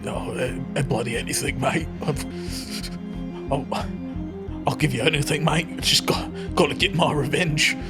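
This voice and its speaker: A man plays a sore-losing Australian villain in a tabletop game. He is blokey and vengeful, with a slightly high voice over clear background music. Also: normal speed, close to an everyday voice. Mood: bitter, desperate. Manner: blokey, repeatedly says mate. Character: bitter beaten sports rival turned vengeful villain.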